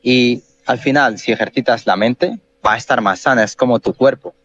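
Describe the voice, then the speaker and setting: A man hosting a podcast, calmly explaining ideas step by step with examples. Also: mid-range voice, moderate pace, slight background noise. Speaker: podcast host. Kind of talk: explanatory casual chat. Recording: dull, muffled sound.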